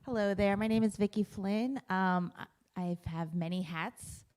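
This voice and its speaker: A woman's voice: medium pitch, unhurried, darkish tone, clean tone. A woman in her forties, a lawyer and school board president, speaking earnestly to a panel. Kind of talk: casual remarks to a panel.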